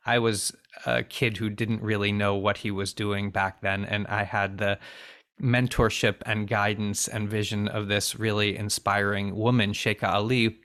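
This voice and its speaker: Earnest low-voiced man recounting a story. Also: fairly bright tone. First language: bilingual in Finnish and English. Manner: earnest, reflective, with frequent restarts. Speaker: interview guest, refugee aid founder. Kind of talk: conversational interview storytelling.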